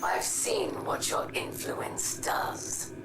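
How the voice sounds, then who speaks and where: An actress voicing a bitter, defiant female alien warlord in a game, in a breathy rasping whisper, cold and guarded. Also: music in the background. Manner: slow, hissed, cold. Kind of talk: scripted game drama. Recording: echoey game scene.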